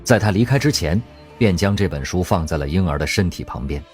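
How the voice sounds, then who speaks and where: A man narrating an audio drama story in an expressive, measured storyteller's style. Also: low-pitched. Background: background music.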